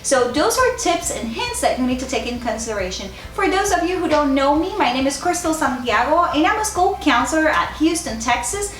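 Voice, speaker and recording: A woman with a Puerto Rican accent speaks in a high voice that swings widely in pitch. She addresses viewers directly in an encouraging, informal vlog style, as a counselor sharing advice. The room adds a noticeable echo. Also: animated, brisk, hardly any pauses, a bit bright. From Puerto Rico. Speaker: school counselor giving advice. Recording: echoey, roomy sound.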